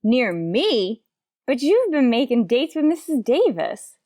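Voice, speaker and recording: Woman playing a mellow, wry schoolteacher. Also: high voice, very animated, unhurried, dry recording. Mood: indignant, sardonic. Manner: dry, sardonic asides. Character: wry, quick-witted female schoolteacher fending off suitor. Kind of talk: old-time radio comedy acting.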